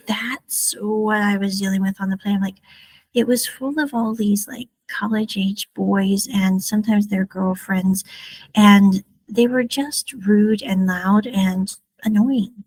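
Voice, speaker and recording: A woman's voice: mid-range voice, darkish tone, dry recording, low-quality recording. A woman hosting a podcast, telling a travel story in a casual, rambling way, often correcting herself mid-sentence. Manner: rambling, self-correcting, chatty asides. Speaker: podcast host.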